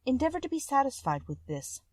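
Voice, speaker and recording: A woman's voice: high voice, very animated, fast talker, a little mellow, no room sound, pitched above the performer's own voice. A woman voicing a kind, sincere young woman in a storybook, with earnest, polite, old-fashioned speech. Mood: uneasy, sincere.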